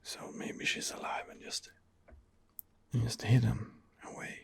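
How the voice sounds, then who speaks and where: A man giving ASMR game commentary in a breathy, husky whisper, hesitant and rambling as he muses his way along. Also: mid-range voice, completely flat delivery, a little slow. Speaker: video game streamer, channel host.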